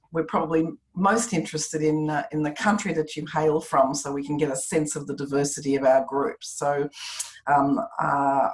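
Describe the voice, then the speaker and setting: Low-pitched woman hosting a call. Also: echoey room, poor audio. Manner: encouraging, chatty, polite. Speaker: meeting host and chair. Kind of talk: informal online meeting facilitation. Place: online video call. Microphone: video call.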